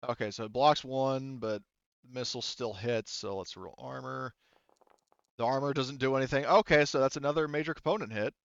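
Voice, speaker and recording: Male game master, clean smooth voice, halting think-aloud narration, dry slightly dull audio. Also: medium pitch, dry recording. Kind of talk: narrating a tabletop game session.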